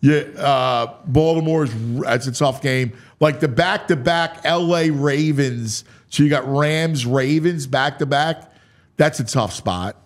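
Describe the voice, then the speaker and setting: A man hosting a sports talk show, mixing dramatic, drawn-out delivery with casual, offhand asides. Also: on the high side.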